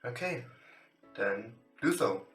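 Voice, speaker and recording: A man with non-native English gives casual let's play commentary at a slow, halting pace, reading game text as he goes. His mid-pitched voice sounds roomy and set back from the mic. Gentle guitar music plays behind him. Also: expressive, far from the mic. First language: not English. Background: soft guitar game music.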